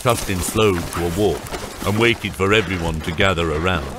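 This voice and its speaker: A man's voice: deep voice, moderate pace, noisy background. A man narrating a scripted fantasy audiobook. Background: loud music.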